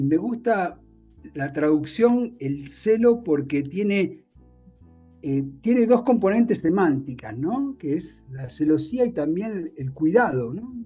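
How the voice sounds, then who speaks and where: A middle-aged man speaking Spanish with a marked Italian accent, his voice dark and a little husky. He talks thoughtfully, pausing and restarting as he searches for words, and the sound is slightly muffled and lo-fi. Faint music sits in the background. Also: a little high. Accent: Spanish with a strong Italian accent. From Argentina. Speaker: guest author. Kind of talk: reflective interview conversation.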